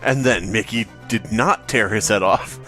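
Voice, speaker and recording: A man's voice: fairly low pitch, a little slow, pushed and bright, soft background music. A man co-hosting a podcast, joking and riffing playfully in casual banter. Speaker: podcast host.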